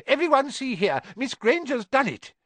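A tiny elderly wizard professor squeaks his lessons in a fussy, encouraging tone. His voice leaps very high and bounces all over. The sound is dry and close, with no room around it. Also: big pitch swings, normal speed, a bit bright, no echo, full character voice. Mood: delighted.

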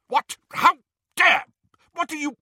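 Snobby old portrait headmaster from a storybook, cold n sneery, pompous, really swoopy and sharp. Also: male voice, mid-range voice, wildly swooping pitch, a little slow, no echo, studio quality, heavily acted. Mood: outraged. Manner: pompous, indignant, supercilious.

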